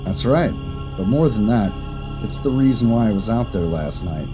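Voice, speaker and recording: A man's voice: on the low side, animated, moderate pace, over music, pitched below the performer's own voice, lightly acted. A man playing a university professor who builds up a spooky tale in an audio drama. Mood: storytelling. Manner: dramatic, storytelling. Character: middle-aged male professor spinning a spooky tale. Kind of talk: amateur audio drama acting.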